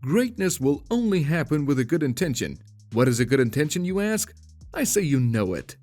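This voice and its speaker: A man's voice: on the high side, big pitch swings, moderate pace, darkish tone, faint music behind. A man narrating a scripted voice-over and explaining his points. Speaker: video narrator.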